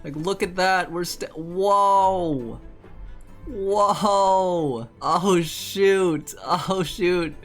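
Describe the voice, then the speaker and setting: A man's voice: sits high, drawn-out words. A young man giving casual, reactive playthrough commentary as a gaming streamer, full of short exclamations. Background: game music.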